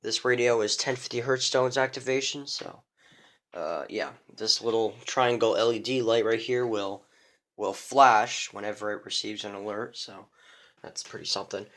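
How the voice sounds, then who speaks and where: A man's voice: on the low side, fairly even intonation, moderate pace, fairly bright tone. A young man giving a casual show-and-tell of his radio collection, rambling, with many fillers and restarts.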